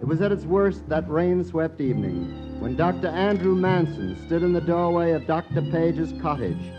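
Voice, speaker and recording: A man's voice: a little high, sing-song, normal speed. Dramatic male radio announcer over music. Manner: dramatic, formal. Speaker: announcer and narrator. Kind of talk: 1940s radio scripted narration. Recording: dull old radio broadcast.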